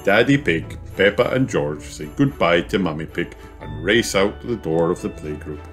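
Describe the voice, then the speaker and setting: A man's voice: sits low, expressive, normal speed, soft background music. A man reading a scripted children's story aloud as its narrator.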